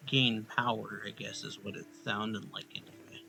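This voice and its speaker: A man's voice: fairly low pitch, level tone, moderate pace, vocal fry, bright, forceful tone, slight background noise, poor audio. A man giving casual, offhand commentary as he plays a video game, muttering to himself. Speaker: let's play gamer. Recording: game music behind the voice. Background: video game music and sounds.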